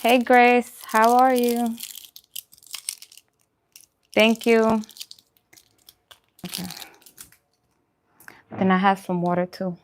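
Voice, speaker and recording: A woman's voice: on the high side, very slow, pauses often, slight background noise. A woman hosting a food channel, chatting casually and informally with viewers during a mukbang livestream.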